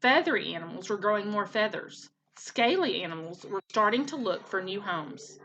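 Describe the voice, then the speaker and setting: A woman's voice: medium pitch, animated, moderate pace, fairly bright tone, lo-fi sound, a little hiss or hum. A woman narrating a children's storybook expressively, like a teacher reading aloud.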